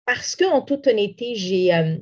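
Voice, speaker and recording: A woman's voice: mid-range voice, lively intonation, moderate pace, a little mellow. A young woman from Morocco, a native Arabic speaker, answering interview questions earnestly with frequent restarts and fillers. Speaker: interview guest, community activist.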